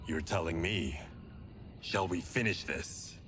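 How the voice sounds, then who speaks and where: A man's voice: low-pitched, slow pace, noisy background. A man playing an earnest, determined swordsman in a video game, serious and restrained. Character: earnest, determined swordsman guided by ancestral souls. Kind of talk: video game cutscene acting. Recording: loud cinematic music behind.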